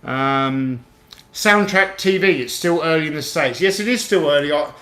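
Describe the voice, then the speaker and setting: Bright-voiced chatty male livestream host. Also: a little high, normal speed. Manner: chatty, reads out viewer comments, laughs easily. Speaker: livestream host and toy auctioneer. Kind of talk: casual livestream chat reading comments. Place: home room in Australia. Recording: echoey room.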